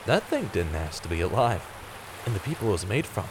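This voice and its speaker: A man's voice: low voice, big pitch swings, brisk, a little hiss or hum, poor audio. An actor playing a guarded but compassionate man on the run in a radio drama, earnest and cautious. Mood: brooding narration. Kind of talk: audio drama acting with first-person narration.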